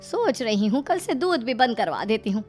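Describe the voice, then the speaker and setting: A woman's voice: on the high side, very animated, moderate pace, darkish tone, faint music behind. A woman voicing a scheming, fretful middle-aged mother-in-law with pleading, emphatic delivery in a comic story. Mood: mock worried.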